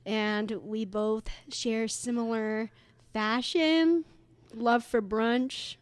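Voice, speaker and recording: A young woman in her twenties with a slightly high voice, joking around as she co-hosts a chatty podcast. She talks slowly and unhurriedly, recorded close on a dry home-studio mic. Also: steady tone, dry recording. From upstate New York. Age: 20s. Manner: playful, giggly banter. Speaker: podcast co-host. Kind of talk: casual chat.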